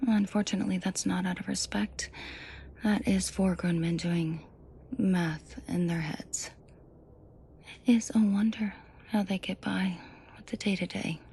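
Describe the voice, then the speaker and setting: A woman playing a menacing, protective and flirtatious mafia boss in an intimate audio roleplay, with a breathy, husky voice and dry sarcasm. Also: fairly even intonation, warm tone, a little hiss or hum. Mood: cold, exasperated. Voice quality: breathy, husky low voice with vocal fry. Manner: slow, deliberate, dryly sarcastic, often dropping to a murmur. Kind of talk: intimate audio roleplay acting addressed to the listener.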